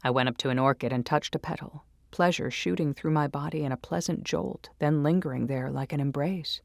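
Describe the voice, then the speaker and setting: A woman's voice: deep voice, fairly quick, creaky, no room sound, studio quality. A woman narrating a first-person story in a dramatic, intimate and intense manner.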